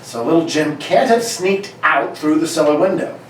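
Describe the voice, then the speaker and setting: A man playing a gruff, exasperated older police inspector in an amateur comedic radio play, blustery and halting as he reads. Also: fairly high pitch, close to an everyday voice. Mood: grumbling. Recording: echoey room, distant mics, noisy.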